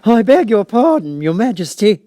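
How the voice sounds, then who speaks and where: A man playing a nervous, apologetic hatter with a loud, hesitant, stammering manner, as in a storybook. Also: high-pitched, sing-song, moderate pace, no room sound, clean studio sound, voice pushed up.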